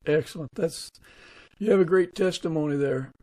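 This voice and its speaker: A man's voice: on the high side, moderate pace, a little mellow, no echo. A man hosting a casual interview podcast, putting questions to a guest and responding. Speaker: podcast host.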